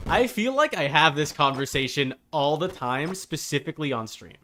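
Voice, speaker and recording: A man's voice: a little high, lively intonation, normal speed, sharp tone. Male game streamer chatting over background noise. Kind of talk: casual live-stream chat while gaming.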